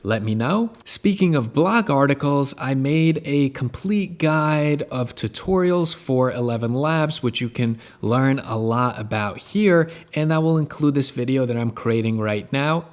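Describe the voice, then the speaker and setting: Male tutorial host, medium pitch, conversational and instructional, walking viewers through software steps. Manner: conversational, explains step by step. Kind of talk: casual screen-share software tutorial.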